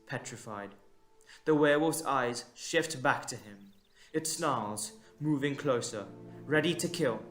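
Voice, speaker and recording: Male narrator for fantasy audio play: mid-pitched, very unhurried, suspenseful, deliberate pauses, light music bed. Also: fairly bright tone. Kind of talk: dramatic scripted audio story narration.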